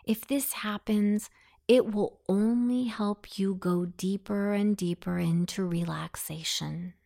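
A woman narrating a guided meditation in a soothing, deliberate, instructing manner. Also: mid-range voice, fairly even intonation, creaky, dark tone.

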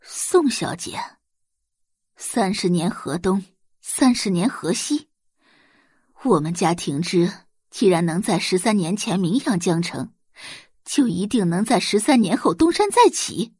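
Audiobook role voiced by a woman: middle-aged mother, proud and protective, deliberate restrained fury, expressive pitch movement. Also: husky, dry recording, studio quality. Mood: restrained anger. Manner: low, deliberate, word-by-word restrained anger. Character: indignant middle-aged mother defending her son, proud. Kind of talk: audiobook drama character acting.

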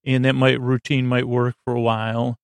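A man hosting a sleep podcast, rambling in a soothing, creaky drawl that wanders off topic and interrupts itself with wry asides. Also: mid-range voice, little pitch movement, normal speed, no echo. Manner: meandering, self-interrupting, wry. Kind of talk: rambling late-night monologue.

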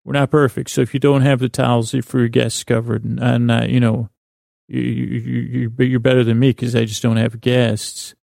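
A man with a gravelly, creaky voice, recorded close and dry. He hosts a sleep podcast in a meandering, halting monologue. His delivery is lulling and self-deprecating, with a narrow pitch range. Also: a little mellow, dry recording. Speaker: podcast host. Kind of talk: rambling bedtime-story podcast monologue.

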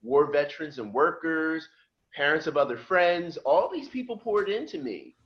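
A middle-aged man from just outside Philadelphia tells his story in a relaxed, conversational way, slipping into the voices of the people he quotes. His voice sits a little high for a man. The audio is dull and lo-fi. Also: moderate pace. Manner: lively storyteller who acts out quoted voices. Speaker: podcast guest. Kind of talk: casual storytelling.

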